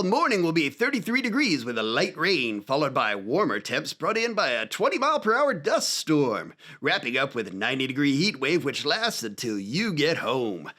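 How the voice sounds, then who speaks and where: A man's voice: on the high side, bright, forceful tone, heavily acted. A man playing a wisecracking local radio announcer with exaggerated, tongue-in-cheek DJ patter.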